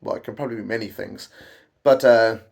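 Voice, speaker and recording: Croaky-voiced man teaching guitar. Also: lowish voice, fairly even intonation, fairly quick, darkish tone. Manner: chatty, self-deprecating, wry asides. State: croaky voice from a cold. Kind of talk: casual guitar tutorial. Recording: guitar playing behind the voice.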